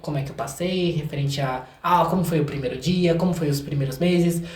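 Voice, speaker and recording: A young Brazilian man talking casually and candidly as a guest in an interview, with an expressive manner. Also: fairly high pitch, a little fast. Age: young adult. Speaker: guest, singer and songwriter. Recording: roomy, echoey room sound.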